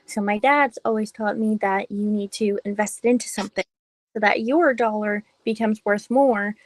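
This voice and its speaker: A woman's voice: medium pitch, steady tone, normal speed, dry recording. Young woman, giggly and rambling, dry close phone sound. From Massachusetts. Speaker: host's daughter, co-host. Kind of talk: casual live chat.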